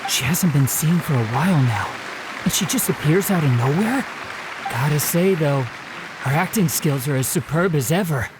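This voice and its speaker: A man's voice: on the high side, animated, moderate pace, close to an everyday voice. A man playing a curious, gossiping theatre-goer in a video game, with busy crowd noise around him. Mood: impressed, puzzled.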